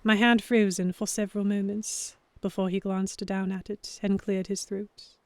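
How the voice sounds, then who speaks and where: An earnest, anxious young heroine voiced by a woman tells her story in a mid-pitched voice. The delivery is measured and expressive, like a dramatic audiobook, and the sound is very dry and close. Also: no echo, natural voice. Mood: introspective. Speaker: narrator and lead character. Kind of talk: first-person dramatic audiobook-style narration.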